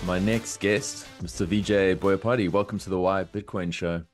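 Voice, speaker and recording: A man's voice: low-pitched, steady tone, moderate pace, soft background music. A man hosting a podcast interview, upbeat and enthusiastic, sniffly from a cold. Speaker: podcast host.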